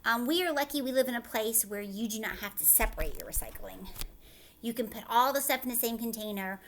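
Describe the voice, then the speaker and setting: A woman with a fairly high voice speaks as a teacher to young children. She moves between reading a picture book aloud and chatty asides, keeping her wording simple and often tagging on short check-in questions. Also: a little fast, slight background noise.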